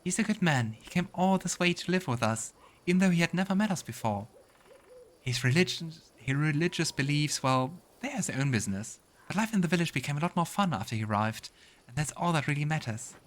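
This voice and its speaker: A man's voice: fairly high pitch, lively intonation, a little fast, pitched above the performer's own voice, close to an everyday voice. A man voicing a cheerful, admiring young village woman in a fantasy game, chatty and earnest. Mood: fond, admiring.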